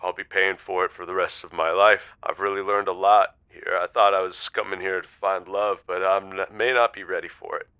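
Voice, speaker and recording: A man's voice: sits low, steady tone, a little fast, few pauses, smooth, no room sound. A man acting as a humbled, remorseful scammer on a radio dating show, hesitant and contrite. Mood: contrite, defeated. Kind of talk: subdued radio drama acting. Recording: dull lo-fi sound.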